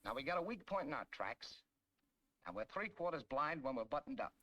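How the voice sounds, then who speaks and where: Tough grumbling American tank soldier, 1940s training film: brash, sharp, swooping emphatic delivery, noisy old soundtrack. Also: male voice, mid-range voice, very animated, a little fast, dry recording, dull audio. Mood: gruff complaint.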